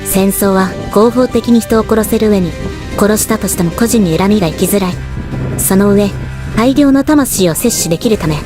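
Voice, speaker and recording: A woman voicing a stoic, battle-hardened female death god in an anime drama, with measured, composed dramatic delivery. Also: medium pitch, music in the background, close to an everyday voice. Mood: reflective. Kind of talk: anime voice drama, scripted narration and dialogue.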